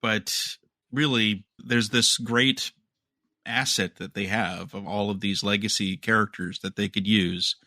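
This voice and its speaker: A man's voice: on the low side, steady tone, a little slow, pushed and bright, dry recording, clean studio sound. A man co-hosting a podcast, casually talking through news with a wry, skeptical manner. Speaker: podcast co-host.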